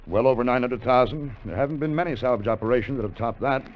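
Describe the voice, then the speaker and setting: An actor playing a weary, cautious salvage diver, earnest and measured, in a 1950s radio drama. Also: male voice, medium pitch, natural voice. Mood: weary, wary. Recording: dull, faintly noisy vintage radio recording.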